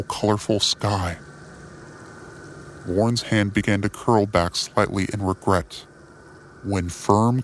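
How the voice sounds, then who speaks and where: A man narrating a scripted dramatic story in a measured, solemn manner. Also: lowish voice, a little hiss or hum. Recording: music under the voice. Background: music bed.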